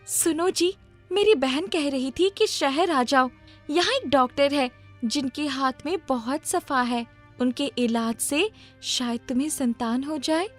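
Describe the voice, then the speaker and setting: Woman acting a high-pitched, nagging village wife. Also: measured pace, soft background music. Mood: hopeful. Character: poor farmer's wife, plain-spoken and nagging.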